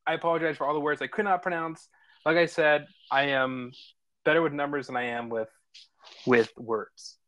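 A man's voice: fairly high pitch, steady tone, normal speed, a bit bright, no room sound, dull audio. A man chatting casually and enthusiastically in a rambling, informal way as host of an online stream. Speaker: host and narrator of online script table reads. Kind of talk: casual feedback chat.

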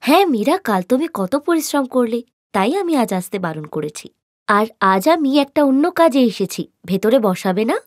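A woman's voice: fairly high pitch, very animated, normal speed, no room sound, clean studio sound, voice pushed down. A woman voicing a kindly, well-off lady in a cartoon story, firm and reassuring. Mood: friendly.

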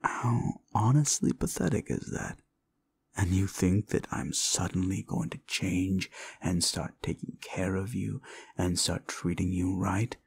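A man's voice: sits low, unhurried, vocal fry, darkish tone, breathy edge, exaggerated voice. A man playing a cruel, condescending demon in an audio roleplay, talking down to the listener with mocking, theatrical delivery. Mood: contemptuous. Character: condescending, cruel male demon summoned as a date.

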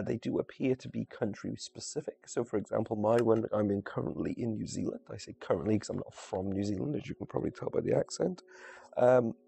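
Guy casually talking thru a game, real crackly fry in his voice, kinda mellow, thinking out loud as he goes. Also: fairly low pitch. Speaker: gamer presenting a video walkthrough. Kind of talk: casual unscripted game tutorial.

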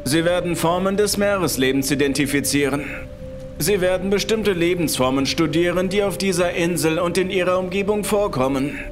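A man's voice: high voice, moderate pace, voice pushed up, natural voice. A man voicing a tense, nervous male boss who speaks in a stern, urgent way in a horror audio story. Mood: grave, tense. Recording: music behind the voice.

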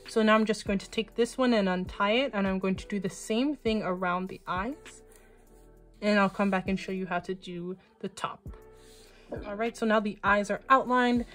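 A woman giving patient, step-by-step crochet instructions as a tutorial host, speaking over close-up footage of her hands. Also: medium pitch. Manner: calm, patient, reassuring instructions.

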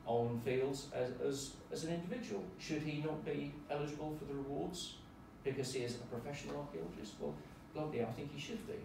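A man giving measured, opinionated remarks as a panel speaker. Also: a little high, lively intonation, creaky. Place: lecture room, indoors. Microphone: far camera in the audience. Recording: echoey lecture room, distant mic, noisy.

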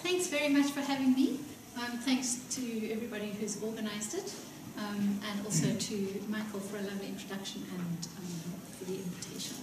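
Soft-spoken middle-aged woman lecturer. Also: mid-range voice, a little fast, hardly any pauses. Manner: soft-spoken, hesitant with many ums. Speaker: guest lecturer. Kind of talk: academic talk. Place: lecture room. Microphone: lectern mic, filmed by far camera. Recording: echoey room from far off, hiss.

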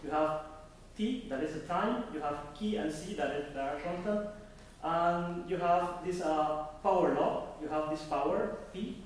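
A young man giving an academic conference presentation, halting and searching for words, often restarting his sentences. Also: fairly high pitch. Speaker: conference speaker. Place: conference hall. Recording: echoey hall from far off with faint noise.

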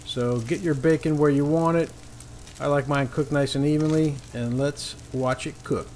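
A man's voice: fairly high pitch, fairly even intonation, normal speed, a little mellow. A man hosting a casual cooking demonstration outdoors, giving easygoing, informal instructions with folksy phrasing. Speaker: cooking show host. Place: outdoor paved patio beside a griddle. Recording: clear sizzling griddle noise behind voice. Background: frying and sizzling food.

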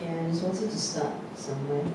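A woman's voice: low-pitched, fast talker. Frank woman on an echoey stage. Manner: earnest, encouraging, frank. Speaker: actor on a panel. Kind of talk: post-show panel talk. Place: theatre stage. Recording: echoey room, distant mic, noisy.

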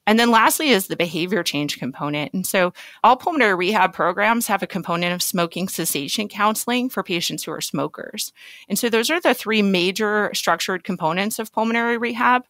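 A woman's voice: medium pitch, lively intonation, brisk, a bit bright, no echo. A woman speaking as a physician guest on a podcast, explaining things in an articulate way.

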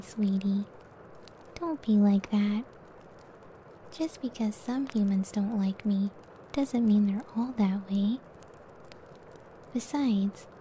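A woman acts out a gentle monster-girl wife, a cyclops giantess, in an intimate ASMR roleplay. Her voice is very dark and soft, and she takes her time with tender, breathy phrases. There is a faint hiss behind her. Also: medium pitch, lightly acted. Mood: gently reassuring. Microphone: close ASMR microphone.

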